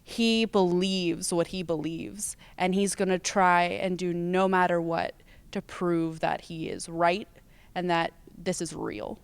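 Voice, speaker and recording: A woman's voice: on the low side, slow pace, smooth, dry recording. A teenage girl, a student actor, telling casual anecdotes in an interview. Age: teens.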